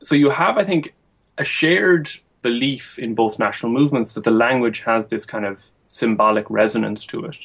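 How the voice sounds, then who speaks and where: A young adult man answering as a guest historian in an interview, measured and thinking aloud with frequent ums. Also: mid-range voice, husky.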